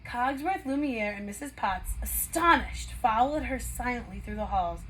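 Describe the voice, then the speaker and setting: A woman with a fairly high voice reads a children's story aloud like a kindergarten teacher. Her delivery is expressive and dramatic, aimed at young listeners. There is noticeable noise behind her in the recording. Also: normal speed, rough recording. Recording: clear background noise.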